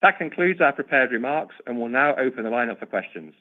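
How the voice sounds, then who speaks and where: Man, company executive, formal measured delivery, medium pitch, prepared corporate remarks, thin phone-like sound. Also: lively intonation. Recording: narrow, tinny conference-call line.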